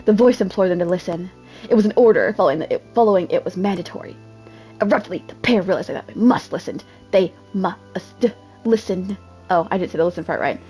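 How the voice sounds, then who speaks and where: A woman's voice: fairly low pitch, a little fast, mellow, soft background music. A woman giving a mock-dramatic amateur reading, theatrical and self-mocking, often breaking off into casual asides. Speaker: amateur reader.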